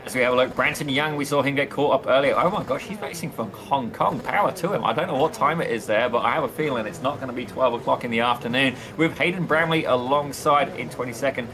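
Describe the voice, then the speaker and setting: A man doing theatrical play-by-play commentary for a sim racing league, addressing viewers as ladies and gentlemen. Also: mid-range voice, fast, hardly any pauses, a crowd in the background. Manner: excitable, theatrical, keeps saying "ladies and gentlemen" and "indeed". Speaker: lead race commentator. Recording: noticeable background noise.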